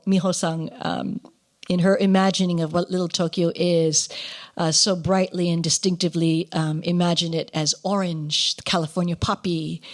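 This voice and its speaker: Animated woman emceeing an awards ceremony. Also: on the low side. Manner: animated, playful, exclamatory asides; drops Japanese phrases into English. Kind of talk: live awards ceremony hosting, partly ad-libbed.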